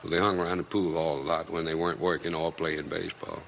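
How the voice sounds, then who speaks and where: A man's voice: sits low, flat delivery, fast talker, gravelly, very noisy. An older man reminiscing in a recorded interview.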